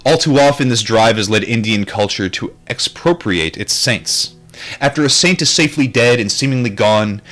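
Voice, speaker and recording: A man's voice: medium pitch, fairly bright tone. A man reading a book aloud and adding his own casual, rambling commentary, with wry and reflective asides.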